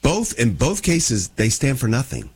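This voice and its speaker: A man's voice: medium pitch, wildly swooping pitch, a little slow, a little mellow, slightly rough. A man hosting a casual live trivia quiz on the radio.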